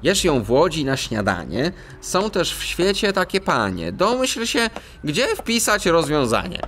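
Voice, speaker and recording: An actor playing an excitable, polite male cat detective who tells his story with earnest expression in a children's audio drama. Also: a little high, a little slow, a little hiss or hum, exaggerated voice. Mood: earnest narrating.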